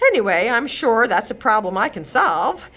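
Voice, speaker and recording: A woman's voice: fairly high pitch, big pitch swings, moderate pace, fairly bright tone, pitched above the performer's own voice. Long-suffering storybook husband, played by a woman: mild, soothing, murmured, with varied melody. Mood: reassuring. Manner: murmuring, muttering, placating.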